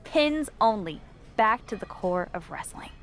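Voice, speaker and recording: An encouraging, understanding woman, played by an actress in a game cutscene. Her mid-range voice is earnest and reassuring, with deliberate pauses. Faint music plays behind her. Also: mid-range voice, animated, natural voice. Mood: enticing. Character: understanding, encouraging woman coaxing a stressed wrestler. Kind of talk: video game cutscene dialogue.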